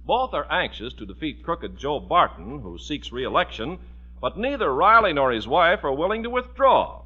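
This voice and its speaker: A man's voice: a little high, big pitch swings, moderate pace, slightly rough. A man working as a radio announcer, delivering scripted commercials and narration in an emphatic, polished 1940s sales style. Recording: dull vintage radio broadcast recording.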